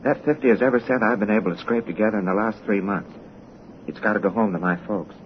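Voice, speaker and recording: An actor playing an earnest, gentle young American drifter in an old radio drama, speaking politely and expressively with an American accent. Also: male voice, fairly low pitch, quick pace, natural voice. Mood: wistful. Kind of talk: 1930s radio drama acting. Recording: muffled, noisy old radio recording.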